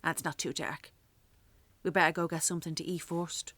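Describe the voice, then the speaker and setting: A woman with an Irish accent playing a weary, sharp-tongued working-class mother in a radio drama, wry and blunt. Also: low-pitched, fairly even intonation, fairly quick, no echo. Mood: practical. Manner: wry, blunt, earthy talk. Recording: clearly noisy, traffic and ambience behind.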